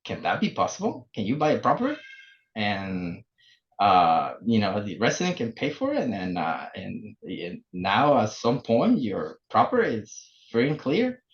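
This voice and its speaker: A man in his forties from El Salvador, speaking English, telling his story as a podcast guest with many pauses and fillers. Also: on the low side, wildly swooping pitch. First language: Spanish. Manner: halting, many fillers and restarts. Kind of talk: casual interview. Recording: muffled lo-fi remote audio.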